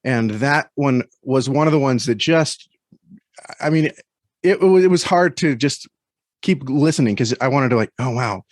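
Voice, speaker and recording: A man speaking as an interview guest in a thoughtful, conversational way, often halting as he searches for words. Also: medium pitch, lively intonation, no room sound, clean studio sound.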